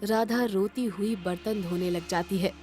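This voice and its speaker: A woman's voice: mid-range voice, steady tone, measured pace, darkish tone, faint music behind. A woman narrator reading a scripted moral story.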